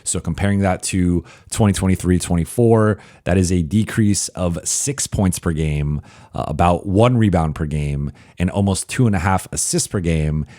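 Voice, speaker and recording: Hoarse man, very low pitch, dry close sound; sports reporter working through a stats-heavy mailbag. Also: warm tone, no echo. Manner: analytical, reels off statistics with frequent "uh" pauses. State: hoarse, partly lost voice. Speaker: basketball reporter and show host. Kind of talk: solo mailbag podcast commentary.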